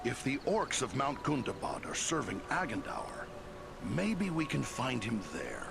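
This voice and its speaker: A gruff fighter in a fantasy game, with a heavily gravelled voice. The pitch swings widely, and loud music plays underneath. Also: male voice, wildly swooping pitch, unhurried, full character voice. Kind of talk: fantasy game cutscene acting.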